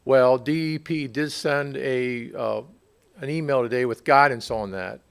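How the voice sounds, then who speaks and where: American guy, kinda gravelly voice, town official answering council questions, halting, repeats himself a bit. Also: medium pitch. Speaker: borough water utility official. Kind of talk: answering questions at a public meeting. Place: public council meeting room.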